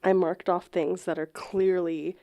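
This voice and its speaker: Candid, mellow-voiced woman chatting. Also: lowish voice, level tone, unhurried. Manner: candid, profane, amused, slangy. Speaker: podcast co-host. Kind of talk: casual comedic chat.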